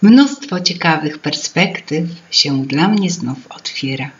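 A gracious, cheerful sister from a children's fairy tale , acted out by a woman. She speaks eloquently and slowly, with charming melodic swings. The recording sounds slightly muffled. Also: sits low, animated. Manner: elegant, drawn-out, charming. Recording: dull lo-fi home recording.

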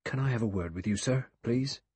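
A man's voice: medium pitch, a little fast, a little mellow, slightly rough, dry recording, close to an everyday voice. A man voicing a deferential, apologetic butler in an audiobook mystery. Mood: agitated, pleading. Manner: servile, apologetic. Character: deferential male butler, restrained but easily rattled.

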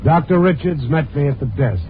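An actor playing a wry, world-weary night-beat newspaper reporter in a 1950s radio drama, with a terse, hard-boiled delivery. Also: male voice, a little high, lively intonation, fairly quick, background noise, close to an everyday voice. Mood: matter-of-fact. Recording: tinny 1950s radio recording.

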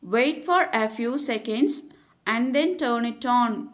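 High-voiced woman narrating a tutorial. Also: lively intonation. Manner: instructional, measured, reading from a script. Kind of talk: scripted step-by-step tutorial narration.

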